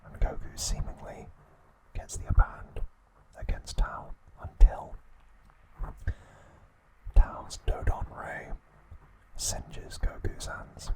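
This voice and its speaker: A man narrating an episode recap as an ASMR host, speaking deliberately in short phrases with pauses. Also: rough recording.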